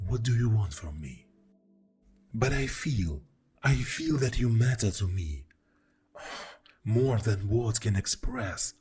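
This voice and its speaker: Deep, slow, soft-voiced exasperated boyfriend, acted by a man. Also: lively intonation, faint music behind. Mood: pleading, earnest. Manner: slow, pausing, with heavy sighs. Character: exasperated, defensive boyfriend arguing with a jealous partner. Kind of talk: ASMR boyfriend audio roleplay.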